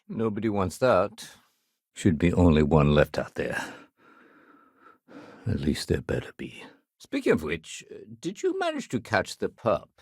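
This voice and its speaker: A man playing a steady, dutiful security sergeant in a video game, speaking conversationally with official firmness and dry wit. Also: lowish voice, husky, no room sound, heavily acted. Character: steady, dutiful male spaceport security sergeant, dryly wry.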